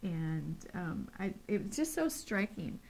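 A woman's voice: mid-range voice, big pitch swings, a little slow, vocal fry, darkish tone, poor audio. A middle-aged woman co-hosting in a studio, talking casually and effusively, drawing out descriptions of colors. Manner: gushing, effusive, lingers on color words. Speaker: co-host. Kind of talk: casual chat. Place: bright studio set at a table.

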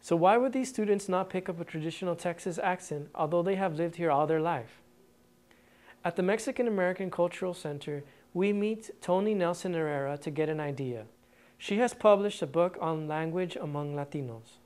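A woman narrating a documentary in a measured, scripted way. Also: fairly low pitch. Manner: even, measured delivery.

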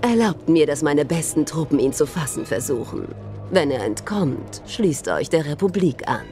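A woman's voice: low-pitched, expressive, moderate pace, dark tone, faint music behind. A woman playing a cold, scornful villain commander, smug and commanding, in an audio drama. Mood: smug, challenging.

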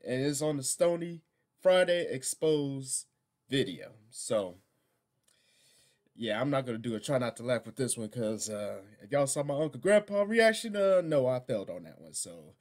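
A middle-aged American man hosting a reaction video in casual, halting, exasperated commentary. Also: mid-range voice, animated, a little mellow.

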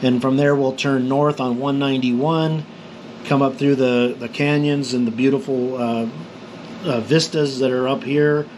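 A man's voice: mid-range voice, poor audio. Middle-aged guy walking through a map, casual and rambly, lots of ums, faint aircon hum behind. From New Mexico. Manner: easygoing and rambling, with many fillers and restarts. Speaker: motorcycle vlogger. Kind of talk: casual explanation of maps and route planning.

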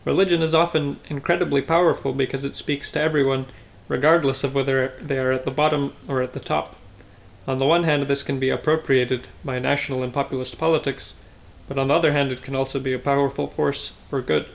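A man's voice: mid-range voice, little pitch movement, a little fast, vocal fry, rough recording. A young man from the United States, a history student, speaking articulately and with enthusiasm.

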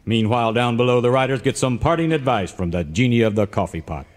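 An actor playing a wry, melodramatic announcer narrating an old-time radio western parody. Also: male voice, medium pitch, fast talker, lightly acted. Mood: dramatic, wry.

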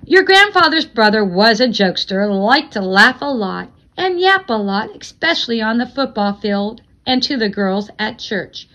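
A woman reading a novel aloud as expressive narration, like a teacher reading to a class. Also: a little high, normal speed, hardly any pauses, dull audio.

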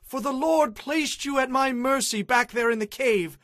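Devout young warrior, fervent and pleading, very high voice, dry audio-drama sound. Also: male voice, normal speed, a bit bright, no room sound. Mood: shouting, pleading. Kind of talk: dramatized audio Bible acting.

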